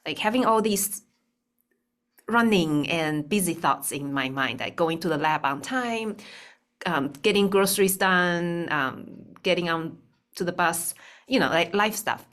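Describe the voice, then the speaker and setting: A woman from Taiwan, a speech-language pathologist, talking through her story in a video call interview with many pauses and ums. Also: lowish voice, expressive, a bit bright. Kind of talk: casual interview talk. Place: bright room indoors.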